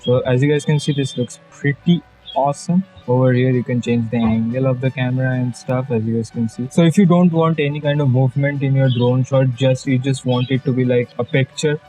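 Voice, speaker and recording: Chatty young Indian man with mellow tone. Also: mid-range voice, fairly even intonation, fairly quick, soft background music, poor audio. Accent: Bengali Indian English. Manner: chatty, jokey asides, many fillers. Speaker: YouTube tutorial host. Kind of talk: casual screen-recorded software tutorial. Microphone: budget external mic.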